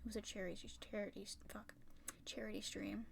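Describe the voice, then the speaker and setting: A young woman chatting casually and rambling on a livestream while drawing, swearing freely, her voice a little rough and raspy from talking for a long time. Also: medium pitch, flat delivery, a little fast, a little hiss or hum. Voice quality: slightly raspy. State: tired, sore throat from long talking. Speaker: art streamer.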